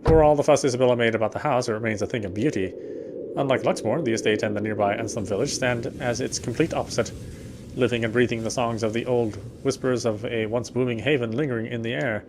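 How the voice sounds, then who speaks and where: A man's voice: medium pitch, fairly even intonation, fast talker, background noise. A man playing a game and reading its first-person story narration aloud in a careful way, now and then stumbling and correcting himself. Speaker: game player reading a visual novel aloud.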